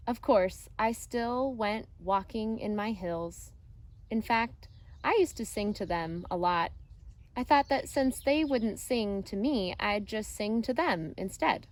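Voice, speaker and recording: Female storybook reader, teacherly, very unhurried, mid-pitched, expressive phrasing for children. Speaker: elementary teacher reading a picture book aloud. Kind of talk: storybook read-aloud narration for children. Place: outdoors.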